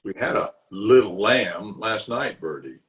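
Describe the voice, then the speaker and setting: A man's voice: on the low side, animated, a bit bright. A man playing a pompous, blustering middle-aged uncle in an old-time radio comedy, drawing his words out indignantly. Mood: grumbling. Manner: pompous, indignant, drawn-out delivery. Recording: remote home recording over video call.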